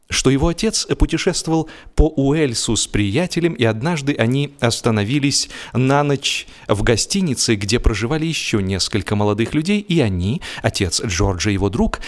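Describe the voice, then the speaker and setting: A man reading a humorous audiobook in an expressive, measured style with theatrical pauses. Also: mid-range voice. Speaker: audiobook narrator. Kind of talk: expressive humorous scripted narration.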